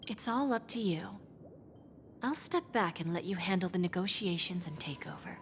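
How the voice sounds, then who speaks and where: An actress voicing a suave, scheming woman pawnbroker, breathy and half-whispered, smooth and teasing, in video game voice acting. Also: on the low side, animated, normal speed, a little hiss or hum. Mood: sly. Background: game music.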